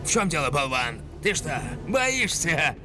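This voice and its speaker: A nasty cartoon gang boss, kinda high voice that swings around, snaps orders, music behind. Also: male voice, big pitch swings, a little slow. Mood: bossy, threatening. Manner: commanding, scornful, barking orders. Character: bossy villainous male animal gang leader, menacing, impatient. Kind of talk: cartoon villain dub.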